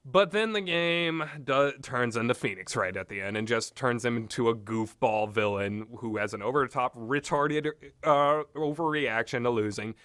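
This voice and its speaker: A man giving informal, rambling opinionated commentary as a game reviewer, haltingly and often repeating himself mid-sentence. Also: medium pitch, fairly bright tone, smooth, no room sound, clean studio sound.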